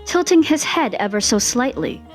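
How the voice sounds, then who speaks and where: A woman reading fan fiction aloud as an expressive narrator, with music behind her. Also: on the high side, moderate pace.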